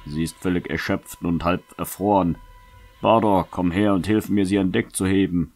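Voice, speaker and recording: A man playing a gallant, courteous leader in a fantasy audio drama, formal and composed with quiet authority. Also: low voice, steady tone, normal speed, faint music behind. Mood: calm, gallant.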